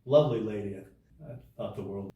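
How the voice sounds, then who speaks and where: Halting deep-voiced man in echoey room. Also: mellow, distant mic. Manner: halting, many restarts and fillers. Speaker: interview guest. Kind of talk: casual storytelling interview.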